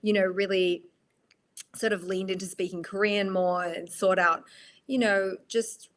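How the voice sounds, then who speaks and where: An Australian woman from Australia, a guest on a podcast interview, speaking reflectively with many halting restarts. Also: mid-range voice, steady tone. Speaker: guest, actress.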